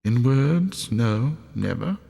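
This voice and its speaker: A man voicing a gentle, sorrowful young woman in a storybook reading, speaking softly and gravely. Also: medium pitch, very animated, slow, in a large hall, full character voice. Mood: sorrowful resolve. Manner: soft, grave.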